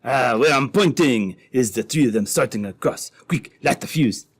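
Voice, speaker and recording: A man's voice: mid-range voice, expressive, moderate pace, creaky, husky. Man acting a scheming, ruthless French-speaking villain. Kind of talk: re-created old-time radio drama acting.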